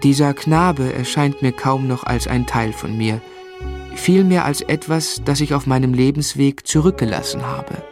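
An actor playing a reflective, earnest young gentleman in a literary radio drama, speaking in a measured, sincere way. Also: medium pitch, over music, lightly acted. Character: reflective, earnest young gentleman telling his life story. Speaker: narrator and lead actor.

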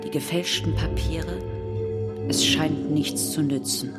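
A woman narrating a radio play as a grave, wry chronicler, in measured literary storytelling over music. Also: low voice, steady tone. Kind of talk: literary scripted narration of historical events. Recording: music behind the voice.

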